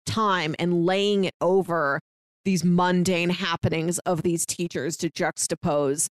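A woman's voice: fairly low pitch, unhurried, no room sound, studio quality. A woman co-hosting a podcast, retelling film scenes in casual chat full of fillers. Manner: lively retelling with frequent fillers. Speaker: podcast co-host.

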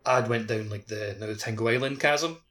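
A man's voice: on the low side, a little fast, fairly bright tone, soft background music. A man co-hosting a podcast, talking casually about games in loose, filler-heavy phrasing. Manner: filler-heavy, frequent "like" and "kinda". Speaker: podcast co-host. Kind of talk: casual video game discussion.

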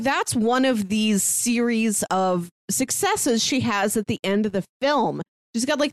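A woman's voice: mid-range voice, no room sound. Conversational woman in a dry, close recording. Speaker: podcast co-host. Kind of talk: casual film recap and commentary.

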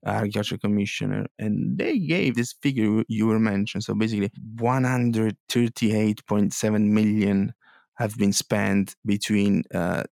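Italian male co-host, low and gravelly, talks haltingly with restarts. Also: fairly even intonation, a little mellow, no echo, clean studio sound. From Italy. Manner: halting, with many restarts and fillers. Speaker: podcast co-host. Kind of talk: casual policy news chat.

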